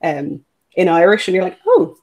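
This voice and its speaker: Interviewer, lots of uhs and you knows, kinda halting questions. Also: medium pitch, wildly swooping pitch, a little mellow. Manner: hesitant, many "uh" and "you know" fillers. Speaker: interview host. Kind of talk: casual interview.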